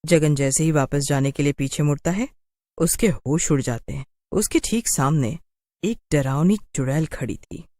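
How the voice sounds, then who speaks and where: A woman's voice: deep voice, normal speed, warm tone, a little hiss or hum. A woman narrating a scripted cartoon story in a dramatic storytelling style.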